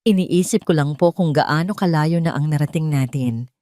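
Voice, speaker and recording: A woman with a Filipino accent voicing a devoted, grateful grown son in an audio story, softly and emotionally. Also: sits low, moderate pace, no echo, studio quality, lightly acted. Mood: reflective, tender. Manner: soft, emotional, respectful.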